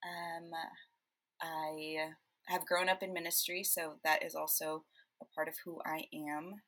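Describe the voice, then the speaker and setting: A woman from Honduras speaks as a podcast guest in a slightly low voice. She is a counselor, and her delivery is casual and conversational. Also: level tone, measured pace, a bit bright. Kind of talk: casual self-introduction.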